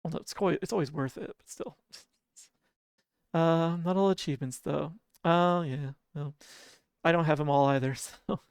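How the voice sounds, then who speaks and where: Sleepy-voiced, smooth-toned game streamer. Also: mid-range voice, normal speed, no room sound, clean studio sound. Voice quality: sleepy-sounding voice. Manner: soft, relaxed, chuckles often and hums idle filler tunes. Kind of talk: casual livestream chat.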